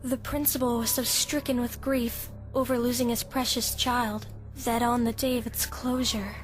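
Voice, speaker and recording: A woman's voice: a little high, level tone, natural voice. A woman playing a teenage schoolgirl with a theatrical, spooky storytelling manner in an anime fan dub. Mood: eerie, ominous. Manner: hushed, drawn-out, ominous storytelling. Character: teenage schoolgirl telling an eerie ghost story, easily frightened. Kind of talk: dramatic ghost-story narration in an anime fandub. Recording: background music behind the voice.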